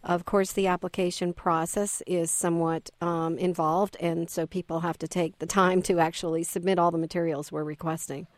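A woman who directs a university program, guesting on a radio talk show and explaining in a measured way with frequent hesitations. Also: lowish voice, flat delivery, dry recording, studio quality. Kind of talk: radio interview, academic explanation.

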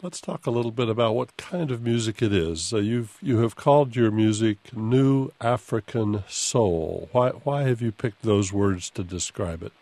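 A man hosting a radio interview in a studio, courteous and relaxed, with frequent fillers. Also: on the low side, normal speed, hardly any pauses, no echo. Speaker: radio host.

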